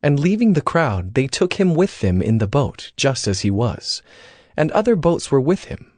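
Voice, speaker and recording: A man narrating a dramatized scripture story in a measured storytelling manner. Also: mid-range voice, sing-song, darkish tone, no room sound. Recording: prominent music behind the voice.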